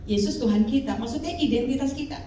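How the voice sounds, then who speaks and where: Female pastor, 50s, high voice, animated call-and-response preaching, distant mic. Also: fast, slight background noise. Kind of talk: sermon. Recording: echoey hall, mic from far off.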